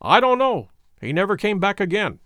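A man's voice: high-pitched, big pitch swings, normal speed, a bit bright, no echo, studio quality. A man reading aloud voices an old village man with a thin, piping voice, recounting news in a chatty, matter-of-fact way.

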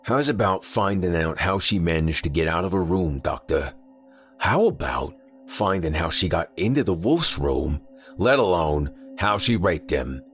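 A man voicing a gruff, hostile male bear detective who talks bluntly and threateningly. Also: low voice, lively intonation, a little slow, faint music behind.

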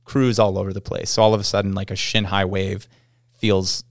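A man's voice: low-pitched, flat delivery, fairly quick, vocal fry, no room sound, studio quality. A man with a Canadian accent chatting casually as a podcast guest, explaining things enthusiastically in informal surfer slang. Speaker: podcast guest, pro snowboarder.

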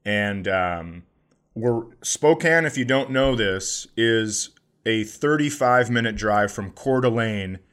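A man's voice: fairly low pitch, animated, slow, a bit bright, clear, resonant tone. A man who is a comedian and podcast co-host, chatting casually and telling stories in a profane, mock-indignant rant. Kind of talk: casual podcast chat and storytelling.

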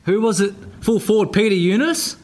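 Male, Australian, acting as a gushing old footy teammate on a prank call, higher pitched and animated. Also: moderate pace. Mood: nosy, probing. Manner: matey, nosy. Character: chummy, gushing old junior football teammate on the phone. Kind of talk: casual prank phone call.

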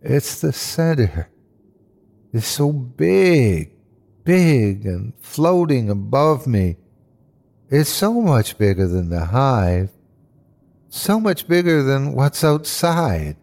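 A man voicing an anguished dying man in a horror story, his voice strained and halting as he speaks in short bursts. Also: medium pitch, animated, vocal fry, a little mellow. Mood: horrified awe. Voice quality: strained, sometimes whiny or growling. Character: dying man, frightened, awed, turning bitter and hateful. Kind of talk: dramatic horror story reading.

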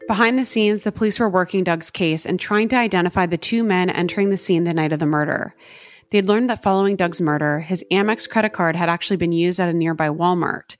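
A woman co-hosting a true crime podcast, telling the case in a steady, earnest way. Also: on the low side, fairly quick, dry recording, faint music behind. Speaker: podcast co-host.

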